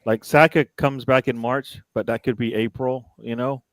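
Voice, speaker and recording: A man joining a casual football chat as a guest, measured, stammering on his starts. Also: mid-range voice, steady tone, dry recording.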